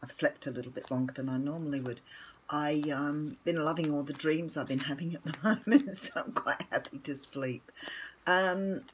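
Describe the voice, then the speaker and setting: An older Australian woman with a croaky morning voice, chatting casually and rambling through a tarot reading at home with lots of ums. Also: low voice, moderate pace, slight background noise. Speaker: tarot reader.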